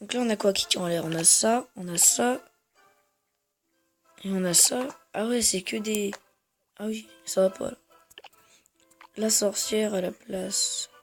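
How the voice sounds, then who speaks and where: A man's voice: high-pitched, steady tone, measured pace, gravelly, pauses often, soft background music. A man with a head cold giving rambling, hesitant gameplay commentary to his viewers. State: has a head cold, congested. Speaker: gamer.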